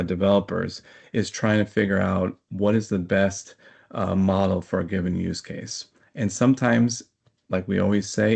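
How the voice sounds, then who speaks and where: Low, creaky-voiced man explaining. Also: darkish tone. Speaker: technology expert guest. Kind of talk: explanatory interview talk.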